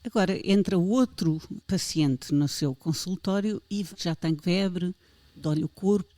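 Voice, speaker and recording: A woman's voice: lowish voice, unhurried, mellow, dry recording. A woman with a European Portuguese accent hosting a health podcast, interviewing a guest with playful, theatrical questions. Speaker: podcast host.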